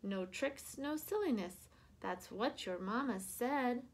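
A woman doing a high, fretful babysitter. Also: lively intonation, takes it slowly, breathy edge, heavily acted, low-quality recording. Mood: scolding. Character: fretful young female babysitter.

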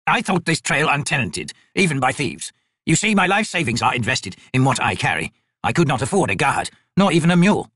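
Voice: mid-range voice, sing-song, fairly bright tone, breathy edge, dry recording, studio quality. A narrator playing a meek, nervous old male trader in an audiobook, hesitant and fussily formal. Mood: apologetic. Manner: slow, hesitant, formal and fussy.

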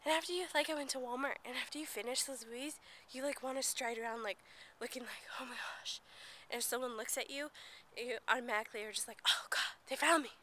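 A woman's voice: high-pitched, fast, pushed and bright, slight background noise. Chatty young woman with a cold. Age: young adult. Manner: rambling and chatty, drops to whispers at times. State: sick with a blocked nose. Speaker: vlogger. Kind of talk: casual vlog chat. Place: outdoors at night near a playground and parking lot. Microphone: handheld camera at arm's length.

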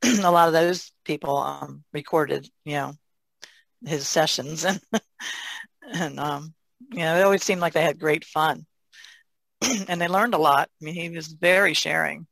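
A woman speaking as a podcast guest in casual conversation, halting and reflective. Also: sits low, gravelly, fairly bright tone, dry recording.

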